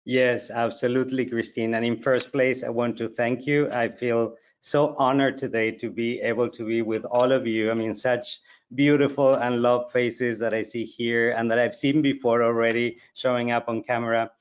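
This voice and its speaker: A man's voice: medium pitch, little pitch movement, moderate pace, smooth. A man from Argentina, speaking emotionally at a virtual company event, with frequent fillers and restarts. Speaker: company team member and guest. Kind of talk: heartfelt virtual event remarks. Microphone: video call.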